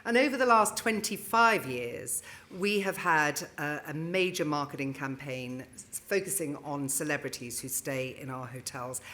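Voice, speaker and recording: Low-voiced middle-aged woman on a panel. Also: normal speed, a bit bright. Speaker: brand adviser, panel speaker. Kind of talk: conference panel talk. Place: conference stage. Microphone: handheld microphone.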